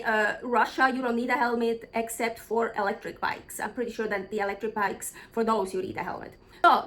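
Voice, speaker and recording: High-voiced woman, blunt vlogger. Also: a bit bright. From Switzerland. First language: Swiss German. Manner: blunt and frank, swears freely, thinks aloud. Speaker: travel vlogger. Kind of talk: casual vlog chat.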